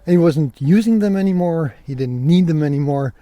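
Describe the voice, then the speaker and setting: Mellow, higher-pitched man telling casual stories. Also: animated, fairly quick, no echo. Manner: conversational, acts out remembered dialogue. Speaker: podcast host. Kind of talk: casual solo podcast storytelling.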